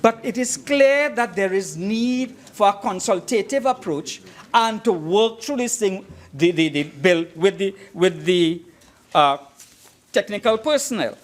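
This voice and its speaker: A man speaking as a member of parliament in a formal debate, making his points emphatically and deliberately and repeating phrases for weight. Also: sits high, animated. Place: parliament chamber.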